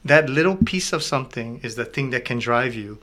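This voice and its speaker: A man's voice: mid-range voice, lively intonation, brisk, fairly bright tone. A man hosting a casual chat, telling stories and acting out what people say.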